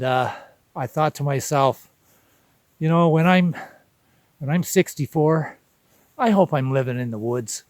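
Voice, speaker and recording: A man, speaking a reflective personal monologue in an unhurried, contemplative way with deliberate pauses. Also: mid-range voice, no echo. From Canada. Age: 60s. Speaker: vlog host.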